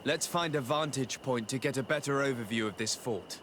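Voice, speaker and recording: A man playing a composed noble diplomat and tactician in a video game, speaking formally and in a measured way. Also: medium pitch, a bit bright, lightly acted. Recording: outdoor ambient noise behind voice.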